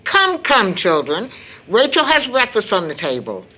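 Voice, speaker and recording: Dignified, expressive mother. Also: mid-range voice, a little slow, exaggerated voice. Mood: mildly scolding. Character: dignified, firm, loving mother of grown children. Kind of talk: audio drama acting.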